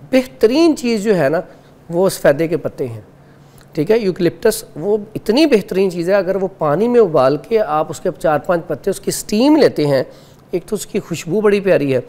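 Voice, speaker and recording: A man's voice: sits high, a little mellow. A man from Pakistan, a studio guest giving herbal health advice, earnest and instructive, going step by step. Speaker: herbal remedy expert guest.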